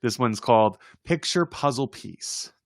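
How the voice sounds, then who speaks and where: Slow-paced man casually reading children's poems aloud. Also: medium pitch, lively intonation, dry recording, studio quality. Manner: informal, chuckling, chatty asides between readings. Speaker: reader presenting children's poems.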